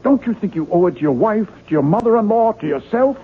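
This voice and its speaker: An actor playing a hearty, persuasive book publisher in a radio mystery drama, coaxing and insistent. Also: male voice, sits high, animated, fairly quick, breathy edge. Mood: firm, pressuring. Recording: tinny 1970s radio broadcast.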